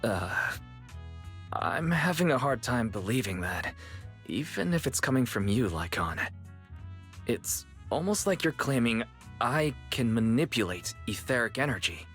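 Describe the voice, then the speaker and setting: A wry, easygoing young man in a game, speaking at a middle pitch with a dry tone. Faint music plays beneath the dialogue. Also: big pitch swings, unhurried, fairly bright tone. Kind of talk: game cutscene voice acting.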